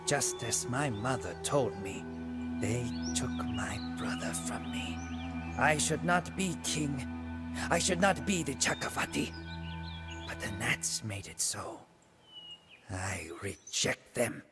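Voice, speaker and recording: A proud, ambitious Burmese warrior king for a game cutscene, speaks at a measured pace over prominent music. His mid-pitched voice is grave and theatrical, declaiming his own tale with brooding, imperious weight. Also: lively intonation. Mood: grieving, bitter, then anguished, defiant. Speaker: narrator and protagonist of game cutscenes. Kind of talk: dramatic scripted first-person narration.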